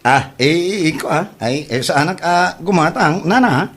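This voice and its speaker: A man's voice: fairly high pitch, expressive, a bit bright. A man with an Ilocano accent playing a boastful, broke braggart in a comedy audio drama, stammering through his excuses. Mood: sheepish, stalling. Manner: halting, hesitant, full of drawn-out stammers.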